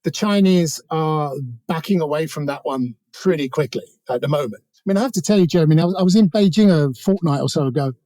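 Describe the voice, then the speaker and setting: A British man talks through politics as an interview guest. His voice sits a little high yet is mellow and dark in tone. He wanders conversationally through asides, in a dry recording. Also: fast, few pauses, no echo. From Britain. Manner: discursive, hedging with many asides.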